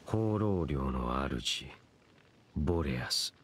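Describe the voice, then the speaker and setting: A man playing a grave, brooding wandering knight in a Japanese video game, speaking in a solemn, measured and cryptic way. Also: rumbling low, animated, slight background noise, heavily acted.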